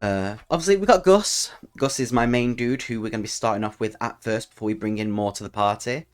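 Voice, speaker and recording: A man's voice: on the low side, a little fast, smooth. A man hosting a solo tabletop roleplaying channel, speaking as a storyteller to his listeners. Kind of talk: storytelling narration and casual channel talk.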